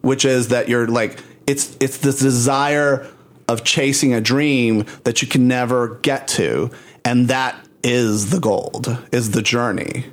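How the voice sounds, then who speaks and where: A man co-hosting a casual chat, rambling and animated, laughing often. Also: mid-range voice, slow. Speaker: podcast co-host.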